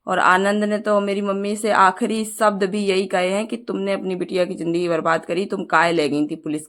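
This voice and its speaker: A woman's voice: medium pitch, creaky. Earnest, aggrieved young woman addressing viewers. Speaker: vlogger. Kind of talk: personal appeal to viewers.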